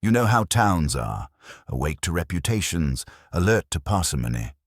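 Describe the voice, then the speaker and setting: Man reading as droll, dutiful deep-voiced policeman. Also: very animated, normal speed, no room sound, studio quality, exaggerated voice. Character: agreeable male police subordinate, dutiful, dryly droll.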